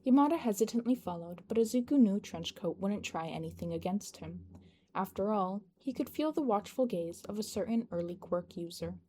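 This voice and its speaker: Mellow woman narrating fiction. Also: mid-range voice, expressive, moderate pace. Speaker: podfic narrator. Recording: noticeable background noise.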